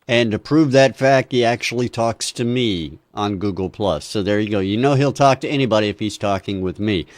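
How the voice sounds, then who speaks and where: A man's voice: fairly low pitch, moderate pace, no echo, studio quality. A man hosting an online music review show, wrapping up with upbeat, joking sign-offs. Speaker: host.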